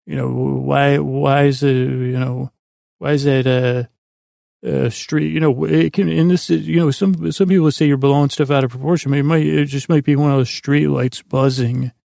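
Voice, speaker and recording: A man hosting a sleep podcast, talking in a gentle, meandering, halting way that keeps interrupting itself, close on the microphone. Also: mid-range voice, steady tone, no echo. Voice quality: creaky, gravelly. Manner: meandering, halting, self-interrupting with false starts. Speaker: podcast host. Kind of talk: rambling bedtime monologue to help listeners sleep.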